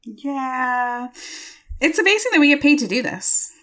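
A woman in her thirties co-hosting a book podcast, summarizing a book in a relaxed, chatty way with many fillers. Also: high voice, measured pace. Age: 30s. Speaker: podcast co-host. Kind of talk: casual book chat.

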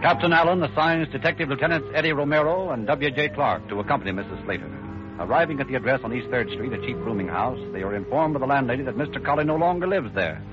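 A man's voice: mid-range voice, animated, quick pace, lightly acted. A man narrating an old-time radio crime drama in a serious, scripted manner. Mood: brisk, serious. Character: brisk, solemn male crime-story narrator. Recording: muffled old radio recording. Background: dramatic music.

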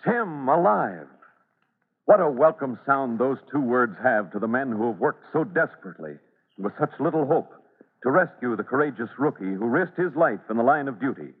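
A man's voice: mid-range voice, husky. A man narrating and announcing an old-time radio serial in an emphatic, theatrical scripted style. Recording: reverberant, tinny vintage radio recording.

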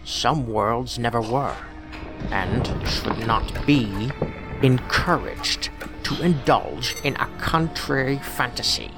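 Villain read,: slow, gravelly, cold and measured, voice rising and falling. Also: male voice, mid-range voice, music in the background. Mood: menacing. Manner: cold, measured, philosophical menace. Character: calm menacing man who appears from nowhere. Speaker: voice actor. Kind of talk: audio drama acting.

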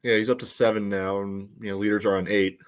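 Man, fairly low voice, casual podcast co-host with halting, conversational delivery. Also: little pitch movement, smooth. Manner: halting, peppers talk with "you know". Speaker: co-host of a soccer fan podcast. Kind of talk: casual sports chat.

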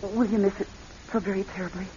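A woman playing an affectionate wife in an old radio play, earnest and tender in the way she speaks. Her voice sits at a middle pitch. The sound is muffled, with faint hiss. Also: fairly even intonation, fast, mellow, close to an everyday voice. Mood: tender, grateful. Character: affectionate, pleading wife, secretly scheming. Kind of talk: radio drama acting.

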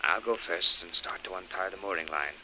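Calm escape leader, fairly deep, hushed and deliberate, old radio serial, clear background noise. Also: male voice. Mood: steady, cautious. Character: calm, capable adventurer leading a stealthy escape. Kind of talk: 1930s radio adventure serial acting. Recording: noisy old radio recording.

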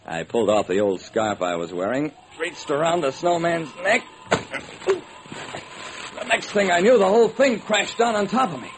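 A man's voice: on the high side, lively intonation, moderate pace, close to an everyday voice. An actor playing a hard-boiled private detective, narrating his case with dramatic, wry deliberation in old-time radio style. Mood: wry storytelling. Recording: noisy old radio recording.

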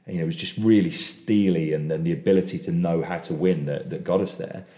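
A man's voice: low-pitched, fairly even intonation, fast talker, dark tone, roomy sound. A man hosting a casual rugby chat, enthusiastic and opinionated, often restarting his words. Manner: enthusiastic, opinionated, repeats words when starting. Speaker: podcast host.

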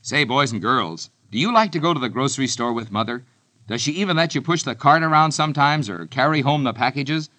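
A man's voice: mid-range voice, fairly quick, bright, forceful tone, no room sound. A man announcing a vintage radio commercial, cheerfully addressing children.

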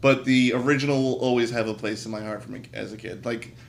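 A man's voice: mid-range voice, steady tone, fast talker, gravelly, slight background noise. A man in a casual, joking group chat, telling things in a wry, irreverent way. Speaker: podcast co-host.

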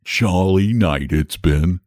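A man's voice: low-pitched, slow, darkish tone, no echo, clean studio sound. A man voicing a big, lazy, self-important storybook dragon with jovial, clipped old-fashioned upper-class slang. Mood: drowsy, contented.

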